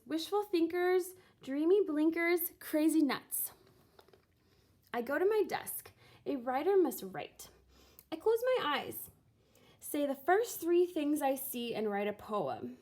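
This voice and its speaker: Woman, very lively intonation, unhurried, expressive children's story reading, deliberate theatrical phrasing. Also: high voice. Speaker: reader of a children's picture book, teacher. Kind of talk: expressive storybook read-aloud.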